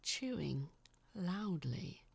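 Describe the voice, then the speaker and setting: A woman reading a scripted first-person story as narrator, in a measured, dramatic storytelling manner. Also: lowish voice, big pitch swings, creaky, slightly rough, dry recording.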